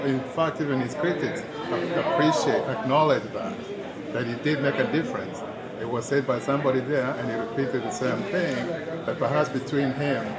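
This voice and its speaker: A man with a Kenyan accent, interviewed at a town hall, speaking earnestly and persuasively with frequent restarts. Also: medium pitch. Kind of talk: street interview, political commentary. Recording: loud crowd behind.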